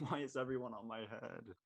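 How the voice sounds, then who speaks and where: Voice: mid-range voice, normal speed, close to an everyday voice. An actor playing a brash, slangy, easily exasperated teenage basketball player in a scripted drama. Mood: exasperated, teasing. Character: cocky teenage boy high school basketball player.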